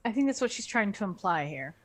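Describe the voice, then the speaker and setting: A woman's voice: mid-range voice, very animated, fast. A woman co-commentating with casual banter, throwing in blunt, snarky interjections. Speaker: co-commentator on a book-club stream.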